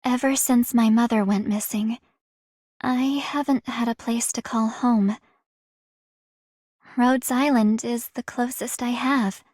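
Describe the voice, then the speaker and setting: A woman's voice: a little high, no room sound, clean studio sound. A woman voicing an earnest, resolute young woman in a video game, solemn and formal. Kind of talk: video game character voice lines.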